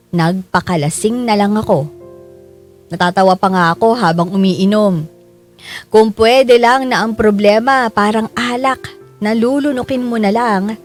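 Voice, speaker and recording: A woman's voice: mid-range voice, a little mellow, natural voice. A woman narrating a radio drama in the first person as a troubled, debt-burdened parent, reflective and confessional.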